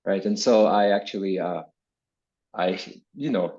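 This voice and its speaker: A man's voice: sits low, a little slow, mellow, lo-fi sound. A man in his forties from Kazakhstan, an academic guest answering interview questions in a reflective, explanatory way, often checking in with "right?". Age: 40s. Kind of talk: conversational interview answers with personal recollection.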